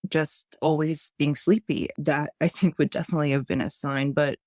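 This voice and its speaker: Woman, 30s, from the United States, podcast guest, measured storytelling, dry close mic. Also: low voice, steady tone, a little mellow, no room sound. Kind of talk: interview, personal story.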